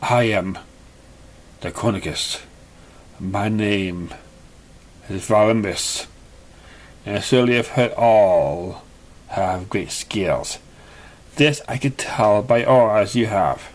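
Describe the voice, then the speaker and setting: Storybook role: serene chimera trickster spirit, low and unhurried, mysterious, voiced by a man.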